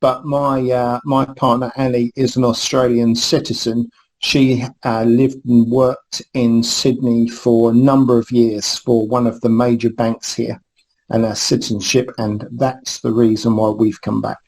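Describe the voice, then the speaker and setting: A man in his fifties, born in Scotland, introduces himself in an interview. He talks haltingly, full of ums and restarts. His voice keeps a flat, even pitch throughout. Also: low-quality recording. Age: 50s. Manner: hesitant, with fillers and restarts. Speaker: new team member, guest. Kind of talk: interview answers.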